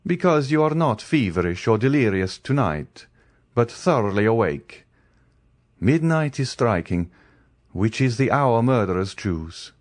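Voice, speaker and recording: A man voicing a grave, calm nobleman who gives measured, solemn warnings in a protective, fatherly way. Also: mid-range voice, dry recording, studio quality.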